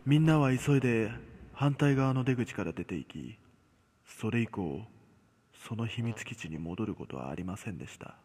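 Husky man narrating ghost stories, heavy reverb. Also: on the low side, expressive, darkish tone. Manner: measured, dramatic pauses. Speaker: horror storyteller. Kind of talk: scripted ghost-story narration. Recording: long cavernous reverb.

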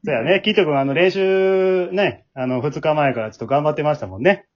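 子供たちに話を聞きながら、答えを繰り返して励ますように進行する男性の司会者。. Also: male voice, on the high side, fairly quick. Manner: encouraging, repeats the children's answers back. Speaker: host of an amateur drama group's aftertalk. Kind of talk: casual hosting, interviewing children. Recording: muffled, band-limited sound.

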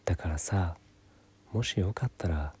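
A man's voice: low-pitched, a little slow, warm tone, breathy edge, heavily acted. A man voicing a friendly young female coworker who casually invites him for drinks, in a light, easygoing manner. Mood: inviting.

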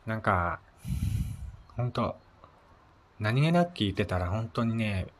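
A man with a low-pitched voice hosts a relaxed solo radio talk. He speaks politely in short phrases, often pausing on small fillers as he gathers his next thought. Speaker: solo podcast host. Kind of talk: casual solo radio chat.

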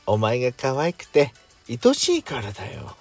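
A man playing a calm, indulgent boyfriend with a dry, gentle manner in an intimate bedtime audio drama. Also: mid-range voice, moderate pace, faint music behind, lightly acted. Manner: gentle, dry, masculine wording. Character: gruff but doting male lover, calm and indulgent.

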